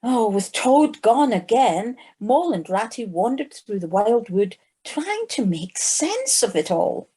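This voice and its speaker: A woman's voice: on the high side, very animated, measured pace, hardly any pauses, dark tone, husky. A woman narrator reading scripted storybook narration for an audio play.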